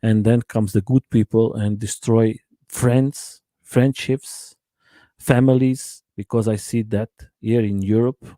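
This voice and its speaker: A man's voice: lowish voice, fairly even intonation, mellow, no room sound. Man hosting a live chat. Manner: halting non-native English, many uh fillers. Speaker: channel host interviewer. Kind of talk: live-stream interview chat.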